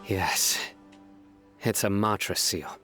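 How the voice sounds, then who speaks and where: An actor playing a stern, unyielding male investigator in a video game, speaking in a deadpan, deliberate way. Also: fairly low pitch, soft background music. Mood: pensive. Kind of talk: dramatic video game voice acting.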